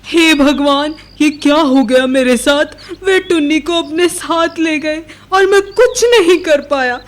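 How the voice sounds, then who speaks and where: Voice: high voice, measured pace. A performer voicing a male father bird with earnest, melodramatic delivery in a cartoon story. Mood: distraught.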